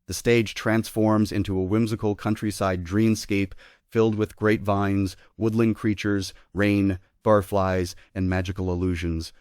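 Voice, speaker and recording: A man's voice: lowish voice, little pitch movement, measured pace, a little mellow, clean tone, no echo, studio quality. A man giving scripted narration, reading out the stage directions of a play.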